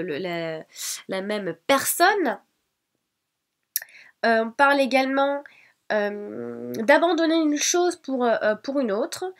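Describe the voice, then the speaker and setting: A woman with a cold presenting oracle cards, hesitant, with many fillers and restarts. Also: a little high. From France. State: has a cold. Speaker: oracle card reader. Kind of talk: casual card presentation.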